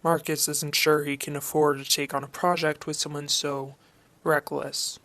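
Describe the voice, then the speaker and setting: A woman playing a sceptical, businesslike investor in an amateur radio play, measured and matter-of-fact. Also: low voice, flat delivery, fairly bright tone. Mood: sceptical, composed.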